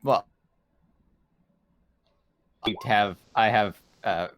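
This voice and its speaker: A man's voice: on the low side, extremely slow, a bit bright, slight background noise. A man talking casually as a player at a tabletop game, working out his moves.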